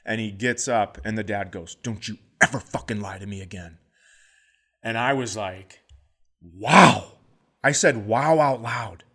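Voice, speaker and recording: A middle-aged man, a comedian co-hosting a podcast, telling stories in a casual, animated way and acting out the people in them. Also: fairly low pitch, unhurried, husky. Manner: animated, acts out voices in his stories.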